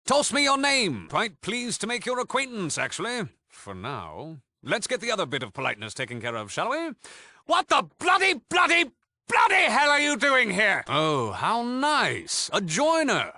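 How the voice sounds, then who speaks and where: A man's voice: fairly high pitch, pushed and bright, no echo, exaggerated voice. A man with a British accent playing a cocky, mock-polite thief gang leader in a video game, theatrical and sarcastic. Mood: coolly condescending, then indignant, theatrical.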